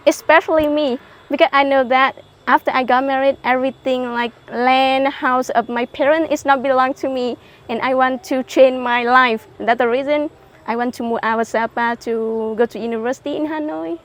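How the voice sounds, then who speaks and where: High-voiced young woman. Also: steady tone, moderate pace. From Vietnam. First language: Hmong. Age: young adult. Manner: simple learner English, frequent affirming yeses. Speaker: interview guest. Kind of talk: casual interview chat.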